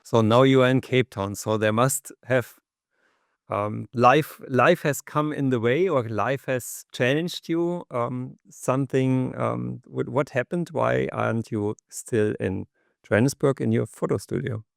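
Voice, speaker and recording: Gravelly-voiced male interviewer. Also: mid-range voice, measured pace, no room sound, studio quality. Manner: hesitant questioning, many ums. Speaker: podcast host. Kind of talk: interview questions.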